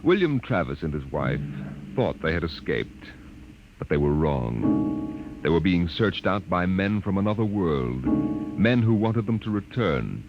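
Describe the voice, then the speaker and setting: Male host for vintage suspense radio, deep and dramatic with wide swings, ominous, loud music behind. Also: big pitch swings, measured pace. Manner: ominous, portentous. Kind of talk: dramatic 1950s radio narration. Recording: dull 1950s radio recording. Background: loud piano music.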